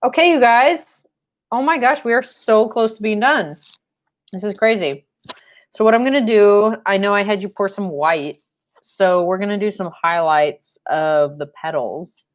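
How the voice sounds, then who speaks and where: A young woman with a low voice, leading a live-stream painting lesson at home, chatty and rambling with fillers, recorded by a fixed camera mic in a room. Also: measured pace. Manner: rambling and chatty, with fillers and playful sound effects. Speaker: painting instructor. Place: home studio room, indoors.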